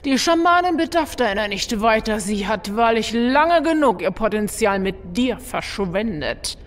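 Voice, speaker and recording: A woman's voice: fairly high pitch, lively intonation, unhurried, in a large hall. An actress playing a haughty evil spirit in a woman's body, imperious and scornful, in a fantasy audio drama. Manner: imperious, scornful, archaic wording. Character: haughty evil spirit possessing a female shaman.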